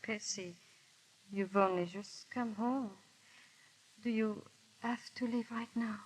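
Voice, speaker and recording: An actress playing a proud, unhappy aristocratic wife with refined, emotive delivery in 1930s radio style. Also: female voice, on the high side, steady tone, slow pace, husky, rough recording. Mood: hurt, wistful. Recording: vintage 1930s radio broadcast.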